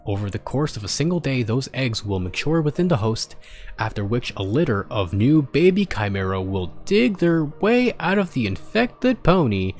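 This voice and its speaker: Storybook pony medical researcher voiced by a man, formal and detached, medium pitch, soft music underneath. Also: sing-song, close to an everyday voice. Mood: clinical, detached. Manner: formal, clinical, measured.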